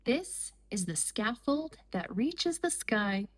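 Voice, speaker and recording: A woman with a fairly high voice reads a children's picture book aloud. Her pace is extremely slow, with short, deliberate phrases and pauses between them, in the style of storytime for young listeners. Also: very animated.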